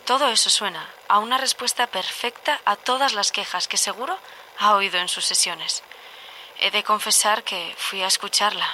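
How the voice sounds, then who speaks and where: A woman playing a troubled, observant diarist in an audio drama monologue, measured and confessional. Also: mid-range voice, pushed and bright, slight background noise, poor audio.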